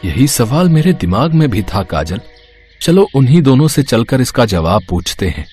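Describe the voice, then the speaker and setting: A man's voice: mid-range voice, big pitch swings, moderate pace, a little mellow, soft background music, lightly acted. A man playing a poor young father, respectful and careful, in a moral story. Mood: curious.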